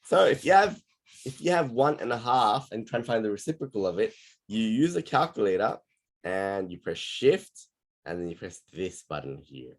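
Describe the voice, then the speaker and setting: A man teaching maths on an online class call, his voice going hoarse, explaining patiently step by step. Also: lowish voice, sing-song, moderate pace.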